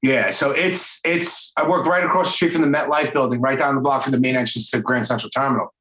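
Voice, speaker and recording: A man's voice: medium pitch, steady tone, fast talker, fairly bright tone, a little hiss or hum. A man in his forties hosting an interview, talking loosely and with enthusiasm, full of fillers. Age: 40s. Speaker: podcast host. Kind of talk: casual interview chat. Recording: roomy echo.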